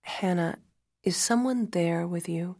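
A woman playing a calm hospital doctor, measured and grave, in dramatic storytelling. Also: fairly low pitch, creaky, dry recording, clean studio sound, heavily acted. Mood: careful, calm. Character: calm female hospital doctor breaking bad news. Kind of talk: dramatic audiobook-style storytelling.

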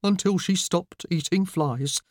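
A woman's voice: mid-range voice, animated, normal speed, a little mellow, breathy edge, dry recording, studio quality, voice pushed up. A woman voicing a gloomy, sentimental, put-upon middle-aged father with a mournful, snuffling manner in an audiobook. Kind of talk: audiobook character voice.

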